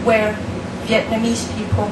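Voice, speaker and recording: Slow-reading woman poet, distant and echoey. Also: a little high, monotone. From France. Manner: slow, deliberate, measured reading. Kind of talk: poetry reading. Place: dim room with a lamp, indoors. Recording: echoey room, distant mic, background noise.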